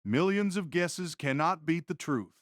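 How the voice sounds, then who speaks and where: A man's voice: fairly high pitch, animated, measured pace, fairly bright tone, no echo, studio quality. A man acting a stern, terse male warrior in an audio drama, speaking curtly and matter-of-factly. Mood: grave, matter-of-fact. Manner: curt, unvarying, matter-of-fact tone.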